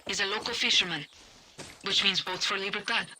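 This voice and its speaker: A woman voicing a composed rebel leader who gives calm orders and encouragement. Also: mid-range voice, fairly quick, slight background noise, rough recording. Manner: calm, warm encouragement, commanding.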